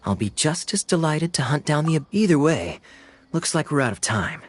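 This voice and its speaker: An actor playing a suave young swordsman in a video game, smooth-talking, playful and sardonic, with secrets to keep. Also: on the high side, big pitch swings, normal speed. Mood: breezy, teasing.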